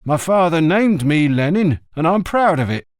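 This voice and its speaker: A quarrelsome old shopkeeper from a storybook, indignant and quick to curse, as the narrator puts him on. His voice sits well above a normal speaking pitch, with a strained, faraway timbre and lots of swing. It is recorded dry and close. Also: very animated, no echo. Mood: sarcastic. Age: older. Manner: indignant, swearing, sarcastic. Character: gruff elderly male greengrocer, quarrelsome. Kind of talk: audiobook character voice.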